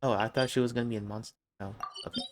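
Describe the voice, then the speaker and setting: A woman's voice: a bass voice, fairly even intonation, rapid-fire, heavy background noise. A woman streamer giving casual gameplay commentary. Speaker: game streamer.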